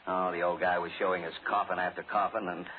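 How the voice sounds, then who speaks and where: An actor playing a wry, businesslike radio producer in an old-time radio drama. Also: male voice, low-pitched, flat delivery, quick pace, a little hiss or hum, close to an everyday voice. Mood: relieved, wry. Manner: wry, businesslike, hesitant with uhs. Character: brisk, wry radio show producer in charge. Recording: tinny old radio recording with background voices.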